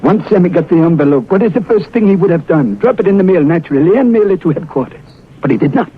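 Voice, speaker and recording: A smooth, wily male foreign agent in a forties radio thriller. He speaks formally and precisely in a highish voice with swooping intonation. The old recording is tinny and faintly noisy. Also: lively intonation, quick pace. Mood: sly, instructive. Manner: formal, precise, uncontracted phrasing. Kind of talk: 1940s radio drama acting.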